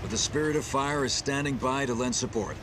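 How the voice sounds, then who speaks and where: An actor playing a composed, authoritative male starship captain in a video game cutscene, measured and commanding. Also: medium pitch, steady tone, background noise, natural voice. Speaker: military commander.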